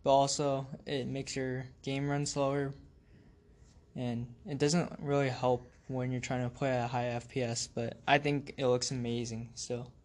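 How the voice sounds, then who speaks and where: A teenage man co-hosting a casual student podcast, giving his opinions in a steady, even way. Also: mid-range voice, a little slow. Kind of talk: casual discussion. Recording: noisy amateur recording.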